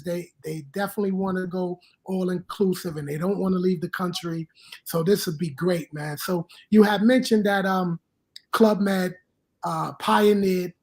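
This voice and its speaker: A man hosting a travel podcast, asking a guest conversational questions. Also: high voice. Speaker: podcast host. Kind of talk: podcast interview.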